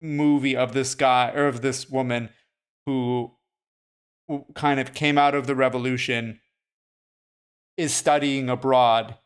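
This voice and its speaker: A man's voice: medium pitch, level tone, unhurried, long pauses, smooth. A man co-hosting a podcast, talking casually about short films with frequent "like" and "you know". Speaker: podcast co-host. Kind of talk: casual film discussion.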